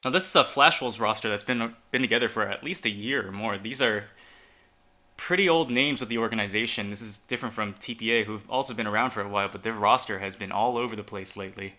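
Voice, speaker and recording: Very bright-voiced male esports caster. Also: fairly low pitch, fast. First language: Chinese. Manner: announcing players one by one, casual and chatty. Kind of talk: fan esports commentary.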